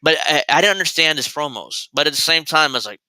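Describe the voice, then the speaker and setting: A man's voice: a little high, lively intonation, fast, pushed and bright, no echo, poor audio. A man in his thirties hosting a casual commentary show, rambling and digressive, with frequent restarts. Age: 30s. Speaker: host.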